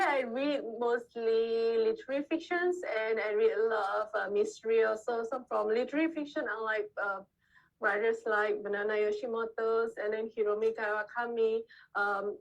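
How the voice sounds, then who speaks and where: Chatty Indonesian-born woman author, higher voice. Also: lo-fi sound. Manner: chatty, laughs often, many restarts. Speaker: author guest. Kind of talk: casual interview over video call. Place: co-working space. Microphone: video call.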